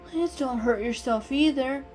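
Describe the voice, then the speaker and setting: A woman's voice: high voice, measured pace, a little mellow, pitched above the performer's own voice. A woman voicing a small, innocent and curious young male kitten in a storybook reading, earnest in manner. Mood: concerned. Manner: earnest, questioning, easily discouraged. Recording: music under the voice.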